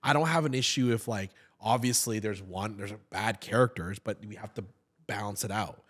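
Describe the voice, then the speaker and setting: A man in his thirties chats as a podcast guest, his voice sitting a little low. He talks in an animated way, tripping over repeated words and restarting his sentences. The sound is close and clean, from a studio mic on a boom stand. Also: moderate pace. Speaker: podcast guest, actor and comedian. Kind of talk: casual interview chat.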